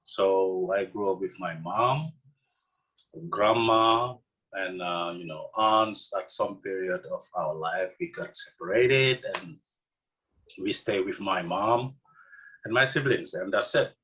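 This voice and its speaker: A middle-aged man from Senegal with a Senegalese accent, answering interview questions casually with many pauses, fillers and restarts. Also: deep voice, bright, forceful tone, muffled recording. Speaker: interview guest.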